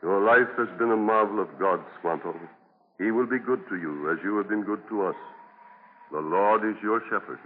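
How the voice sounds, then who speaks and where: An actor playing a kindly, pious, fair-minded male colony leader, earnest and measured in 1940s radio style. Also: lowish voice, slight background noise, natural voice. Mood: tender, grieving. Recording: tinny 1940 radio broadcast.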